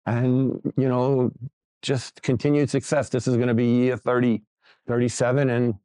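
A plain-spoken man in his sixties who owns a gym. He talks casually and bluntly. His voice sits at an ordinary pitch. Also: level tone, normal speed, warm tone, rough recording. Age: 60s. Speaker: gym owner. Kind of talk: casual interview and gym tour.

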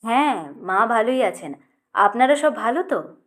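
A woman's voice: fairly high pitch, very animated, unhurried. A woman voicing a playful man in a story who bluffs strangers with breezy, polite familiarity.

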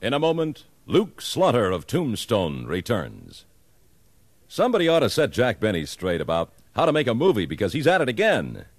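Very lively American male radio announcer. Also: mid-range voice, moderate pace. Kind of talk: 1950s scripted promotional announcing.

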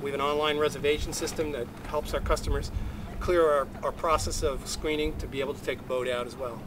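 Older man, sixties, dockside interview, slightly high voice, mic at a distance, loud wind and water. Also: fast. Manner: relaxed, informative, with frequent ums and uhs. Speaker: sailing school representative. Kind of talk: on-camera interview. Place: outdoors on a dock by a bay.

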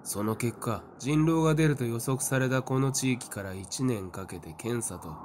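A man's voice: mid-range voice, moderate pace, darkish tone, a little hiss or hum, lightly acted. A man acting a cynical young seer in an audio drama, nonchalant, flippant and coolly taunting. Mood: matter-of-fact.

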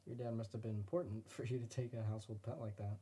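A woman's voice: a bass voice, steady tone, quick pace, dark tone, husky, exaggerated voice. A woman playing an inquisitive researcher who questions a survivor in a radio drama. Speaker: interviewer.

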